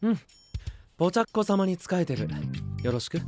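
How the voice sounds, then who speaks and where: A man's voice: high-pitched, sing-song, normal speed, music in the background. A man playing a cool, dry young knight in an anime audio drama, with a wry and offhand way of talking. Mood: calm, curious. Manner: wry, offhand, matter-of-fact.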